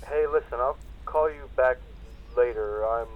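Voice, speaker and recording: A man's voice: mid-range voice, a little slow, phone-quality sound, a little hiss or hum, natural voice. A man playing an ordinary guy taking a late call, talking casually in a horror video. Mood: casual, distracted.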